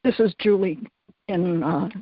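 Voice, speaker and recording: Female caller, hesitant and halting, dry lo-fi line. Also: on the low side, no echo. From Colorado. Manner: hesitant, many fillers and restarts. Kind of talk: phone-in question. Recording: dull lo-fi call audio.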